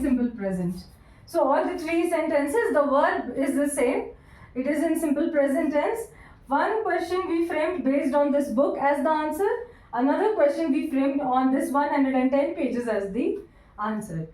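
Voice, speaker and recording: A woman teaching English grammar, repeating each sentence slowly word by word and asking her students questions. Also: sits high, darkish tone. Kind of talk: classroom grammar lesson. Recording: echoey room, voice from a distance.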